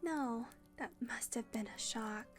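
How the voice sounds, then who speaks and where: A woman's voice: on the high side, slow, soft background music. A woman playing a wry, glib vampire fledgling in an audio roleplay, sardonic and placating. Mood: dry flattery. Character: wry female vampire fledgling, flattering her mistress.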